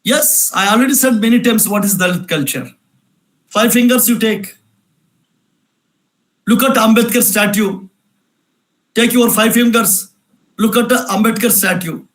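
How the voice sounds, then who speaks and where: A man's voice: high voice, measured pace, long pauses, a bit bright, poor audio. A man giving an emphatic lecture, repeating phrases and asking rhetorical questions. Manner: repetitive, insistent, rhetorical questions. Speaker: speaker at a cultural event.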